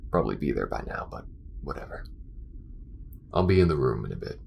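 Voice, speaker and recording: A man playing an earnest alien smuggler and loyal friend in an intimate audio roleplay, speaking haltingly and confidingly to the listener in a gravelly voice. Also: sing-song, darkish tone, a little hiss or hum, heavily acted. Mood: reassuring, warm. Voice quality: very deep, gravelly. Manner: halting and confiding, with frequent pauses. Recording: music behind the voice.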